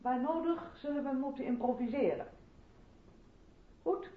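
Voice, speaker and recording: Earnest, high-voiced woman theatre director. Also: measured pace, breathy edge. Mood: brisk. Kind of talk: 1970s Dutch radio play acting. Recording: muffled, noisy old radio recording.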